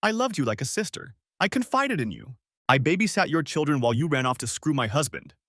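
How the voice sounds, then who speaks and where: A man's voice: mid-range voice, big pitch swings, brisk, fairly bright tone, no room sound, studio quality. A man voicing a furious betrayed wife in a story, biting and indignant. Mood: anguished anger. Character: furious betrayed wife, sharp-tongued and scornful.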